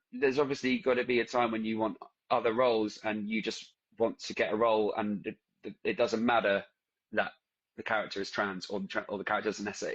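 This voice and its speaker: A man interviewing a guest, halting and restarting his phrases, over a video call. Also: lowish voice, a bit bright, husky. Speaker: interviewer, journalist. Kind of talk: casual interview. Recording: dull lo-fi video call audio.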